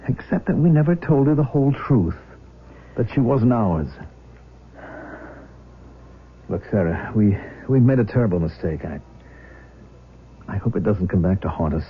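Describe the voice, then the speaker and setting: A man's voice: on the low side, expressive, normal speed, close to an everyday voice. An actor playing a protective, reasoning middle-aged father in a radio drama, earnest and firm. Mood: regretful, worried. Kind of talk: 1970s radio drama acting. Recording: dull, noisy 1970s radio recording.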